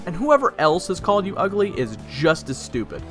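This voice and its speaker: A man playing a loyal, hot-headed human companion in an audio fantasy drama, talking in a casual, halting, earnest way. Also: sits high, wildly swooping pitch, a bit bright, soft background music, full character voice. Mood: indignant. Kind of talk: audio roleplay drama with first-person narration.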